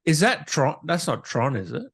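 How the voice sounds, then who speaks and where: A man's voice: fairly high pitch, big pitch swings, fairly bright tone, slightly rough. A man hosting a casual interview, friendly and agreeable in the way he talks. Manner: friendly, agreeable, quick to laugh. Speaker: interviewer, host.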